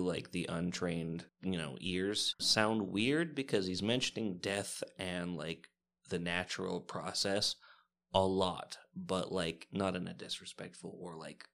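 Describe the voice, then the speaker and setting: A man playing a tabletop game, talking casually and halting as he searches for words. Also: sits low, vocal fry, a bit bright. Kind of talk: casual in-character roleplay and chat.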